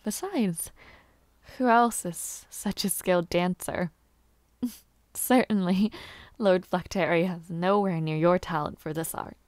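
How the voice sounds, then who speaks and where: A woman playing a bashful, playful young noble lady who aspires to knighthood in an audio roleplay, with halting, giggly speech and formal wording. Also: lowish voice, a little mellow, no echo. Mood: shy, fond. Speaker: roleplay voice actor. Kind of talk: intimate scripted audio roleplay.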